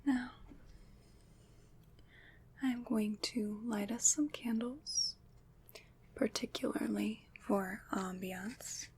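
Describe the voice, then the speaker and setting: Casting: woman as caring, mysterious female witch for whispered ASMR roleplay; extremely slow, breathy, tender delivery, light background noise. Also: on the high side, steady tone. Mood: reassuring, tender. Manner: slow, tender, formal old-fashioned phrasing.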